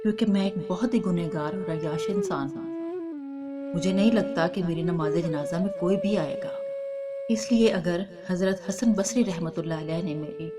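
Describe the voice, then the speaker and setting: Over loud flute music, a woman narrator plays a dying young sinner in a devotional storybook tale. The voice sits fairly low and pleads with sorrow and remorse. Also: expressive, normal speed, close to an everyday voice. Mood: remorseful pleading.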